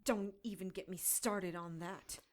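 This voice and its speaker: A woman's voice: mid-range voice, fairly even intonation, measured pace, lightly acted. An actress playing a brash, defiant captive witch in an audio drama, sassy and emphatic. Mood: concerned, indignant. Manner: emphatic, hot-headed, sassy.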